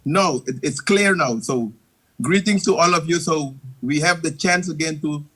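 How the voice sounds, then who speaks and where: A man's voice: on the high side, moderate pace, sharp tone, slightly rough, poor audio. A man from Suriname whose first language is Dutch, a call-in guest speaking careful English. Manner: careful, apologetic non-native English.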